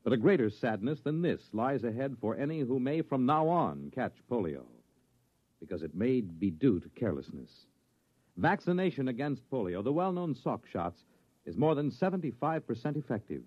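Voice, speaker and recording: Animated, husky male radio announcer. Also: medium pitch, normal speed, no echo. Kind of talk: 1950s radio announcing, scripted public service message. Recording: dull, dry vintage radio recording.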